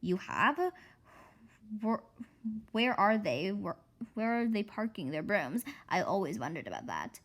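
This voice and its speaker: A woman's voice: medium pitch, very animated, unhurried, long pauses, a bit bright, heavily acted. Woman voicing a doubtful, cautious young girl sidekick. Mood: puzzled. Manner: hesitant, questioning. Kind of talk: children's book read-aloud character voice.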